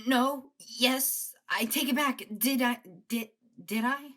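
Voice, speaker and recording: A woman's voice: high-pitched, fairly even intonation, slow, fairly bright tone, heavily acted. A woman playing Hayes, a young officer who idolized her mentor, speaking in a hurt, accusing, hesitant way. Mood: shaken. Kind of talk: amateur audio drama acting.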